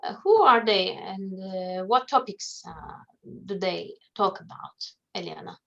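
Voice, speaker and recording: A woman's voice: medium pitch, lively intonation, unhurried, fairly bright tone. A woman hesitantly asking an academic question as a webinar participant. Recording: dull lo-fi webinar audio.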